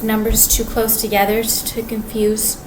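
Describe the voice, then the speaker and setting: Woman, soft quiet voice, slightly high, halting casual vlog talk; audible background ambience. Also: monotone. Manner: rambling, halting, many restarts and fillers. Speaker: vlogger. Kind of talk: casual monologue to camera. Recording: clear background ambience noise.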